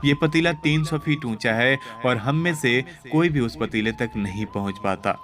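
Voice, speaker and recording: A man's voice: medium pitch, normal speed, a bit bright, close to an everyday voice. A man voicing a starving, helpless soul in hell, mournful and complaining, within a moral tale. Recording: background music under voice.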